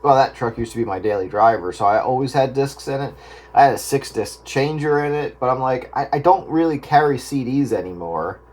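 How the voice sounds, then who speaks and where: A man's voice: mid-range voice, expressive, brisk, darkish tone. Casual man chatting. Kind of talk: casual chat over two-way radio.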